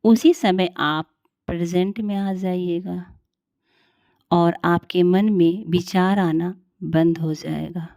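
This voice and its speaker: Slow, low-voiced woman guiding meditation. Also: dry recording, studio quality. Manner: calm, soothing, unhurried instruction with long pauses. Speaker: meditation guide. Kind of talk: guided meditation in Hindi.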